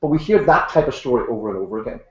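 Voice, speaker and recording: A man's voice: mid-range voice, lively intonation, rapid-fire, a little mellow. An older man with an Irish accent, a guest author talking in an online panel with dry, self-mocking humor and frequent "uh" fillers. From Ireland. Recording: echoey room, dull lo-fi sound.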